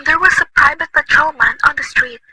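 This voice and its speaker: A woman acting a nervous, bedridden, wealthy woman who talks in a shrill, agitated, imperious way in an amateur radio play. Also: a little fast, natural voice. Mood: anxious. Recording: loud noisy recording.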